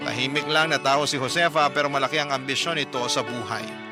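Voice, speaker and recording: A man's voice: medium pitch, fast. Male radio drama narrator over music. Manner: earnest, storytelling, first person. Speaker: narrator of a listener's letter. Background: background music.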